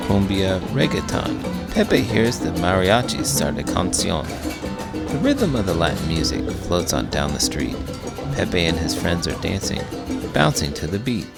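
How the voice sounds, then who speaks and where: A man doing an expressive, scripted read-aloud of a children's book. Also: low voice, a little slow, creaky, over loud music. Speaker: teacher reading children's books aloud.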